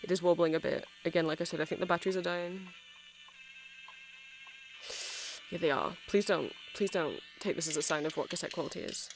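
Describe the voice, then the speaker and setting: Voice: mid-range voice, fast, faint music behind, close to an everyday voice, rough recording. A performer voicing a chatty, nerdy male vampire shopkeeper in an ASMR roleplay, rambling hesitantly and apologetically as they explain things. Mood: apologetic, chatty. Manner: hesitant, rambling, self-correcting. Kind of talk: ASMR roleplay, casual rambling sales chat.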